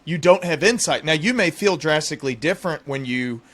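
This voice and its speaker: A man's voice: a little high, lively intonation, fairly quick, a bit bright. Male podcast host talking medicine. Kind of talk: conversational medical interview.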